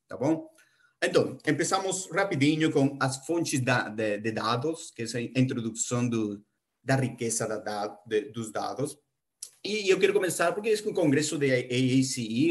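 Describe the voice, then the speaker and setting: A middle-aged man with a Spanish accent, Spanish his first language, giving a conference talk in Portuguese as a project planner, halting with many restarts and fillers. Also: mid-range voice. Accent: Spanish-speaking. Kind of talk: conference presentation.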